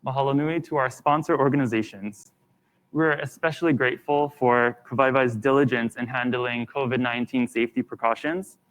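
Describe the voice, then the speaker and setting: A man's voice: medium pitch, fairly even intonation, brisk, a bit bright, poor audio. A man co-hosting a live candidate event, friendly and conversational as he reads out names and welcomes people. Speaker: event co-host.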